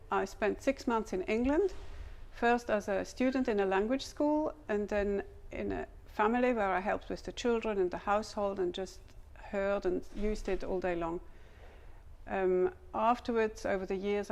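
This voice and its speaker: A woman in her fifties, a native German speaker from Switzerland with a German accent, explaining how she developed teaching materials in a measured way. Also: mid-range voice, darkish tone. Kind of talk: scripted interview answers.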